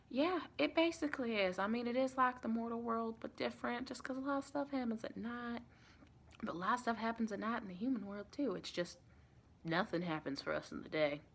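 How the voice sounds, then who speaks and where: An American woman playing a sweet, reassuring vampire in a chatty, rambling ASMR roleplay, with a creaky voice. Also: on the high side, moderate pace, pauses often, a little hiss or hum. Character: sweet, reassuring female vampire welcoming a new recruit.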